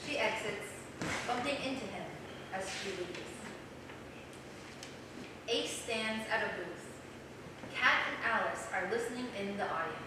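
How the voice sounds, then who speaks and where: A woman's voice: medium pitch, a little slow, pauses often. A woman narrates stage directions for a play reading in a mid-range voice. She is recorded from a distance in a strongly echoing theater. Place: small theater, recorded from the audience. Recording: distant, very echoey, loud noise, theater recording.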